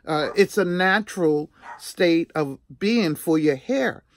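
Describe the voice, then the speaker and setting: A woman in her sixties telling a personal story in a casual, chatty vlog style, animated and emphatic, often repeating herself for effect. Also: lowish voice, slow pace. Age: 60s.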